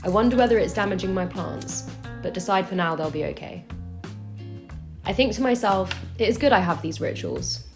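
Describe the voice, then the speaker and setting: A young woman narrating a scripted, reflective voice-over in a calm, measured way. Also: medium pitch. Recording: roomy echo, faint music.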